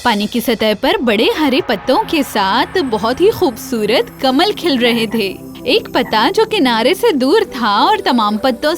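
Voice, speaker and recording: A woman narrates a children's fairy tale as a scripted storyteller. Her voice is high. Soft music runs underneath. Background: faint music.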